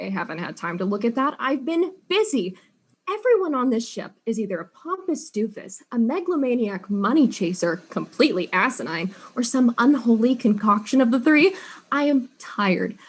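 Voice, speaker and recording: A woman's voice: fairly high pitch, slightly rough. A woman playing a blunt, exasperated detective in a comic audio drama, dry, impatient and sarcastic.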